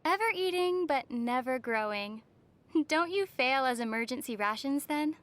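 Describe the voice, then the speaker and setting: A woman voicing a young female adventurer in a video game, gentle and lightly teasing in manner. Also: high-pitched, lively intonation, normal speed. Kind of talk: video game character acting.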